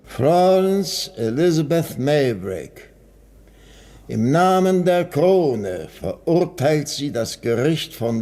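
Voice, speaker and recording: Solemn, very slow judge. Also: male voice, medium pitch, darkish tone. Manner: formal, measured, authoritative. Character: stern, solemn courtroom judge pronouncing sentence. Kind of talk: radio drama acting.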